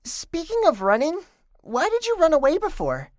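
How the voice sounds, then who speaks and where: A woman voicing a small, good-natured furry boy creature in an animated series, earnest, naive and excitable. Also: high voice, normal speed. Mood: puzzled. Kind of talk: animated web series voice acting.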